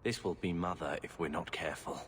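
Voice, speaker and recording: A wary young companion, voiced by a man speaks bluntly in a very deep voice. Long reverb trails his lines over faint noise. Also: brisk, lightly acted.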